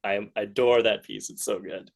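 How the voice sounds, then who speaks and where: Gravelly-voiced man hosting a casual interview. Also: lowish voice, steady tone. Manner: halting, searching for words. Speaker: podcast host, interviewer.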